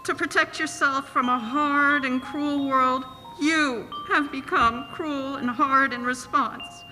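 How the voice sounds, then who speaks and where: A woman's voice: high-pitched, fairly even intonation, slow pace, bright, forceful tone, heavily acted. An actress playing a sorrowful, tender young woman in a 1940s-style radio play, with an earnest, theatrical delivery. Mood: wounded, reproachful. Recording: reverberant hall, faint music.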